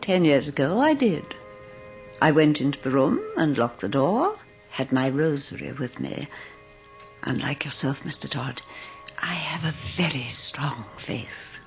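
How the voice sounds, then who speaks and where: Commanding old gentlewoman for radio drama: deep-pitched, sweeping theatrical delivery, sly and imperious. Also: animated, soft background music. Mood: grave, confiding. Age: older. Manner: theatrical, commanding, brisk dismissals. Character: imperious, devout old wealthy widow, sly and curious. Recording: dull, noisy old radio recording.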